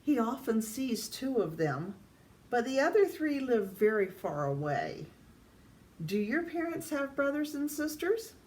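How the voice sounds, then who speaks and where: An older woman reads a children's picture book aloud in a deliberate way, addressing young listeners. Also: a little high, very animated, a little mellow. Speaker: storytime reader. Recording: distant mic, faint background noise.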